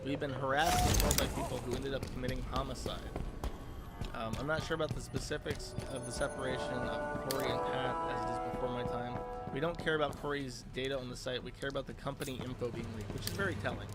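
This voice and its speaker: A man with a middling-pitched voice delivers a streamer's rant. He is sarcastic and profane, with halting, exasperated phrasing. Loud game music and gunfire play behind him. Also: steady tone. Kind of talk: ranting game-stream commentary. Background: video game music and gunfire.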